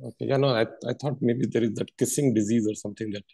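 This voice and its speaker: A man's voice: medium pitch, fairly even intonation, fast talker, creaky, warm tone, breathy edge, dry recording. A man answering as a quiz contestant, casually thinking aloud.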